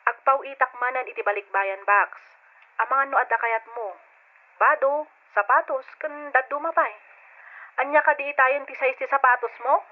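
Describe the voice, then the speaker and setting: Woman acting a concerned big sister, highish voice, solicitous, emotional, old radio drama, narrow telephone-like recording. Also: moderate pace, lightly acted. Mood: caring, concerned. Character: concerned older sister living abroad, caring, apologetic. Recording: thin phone-like radio drama sound.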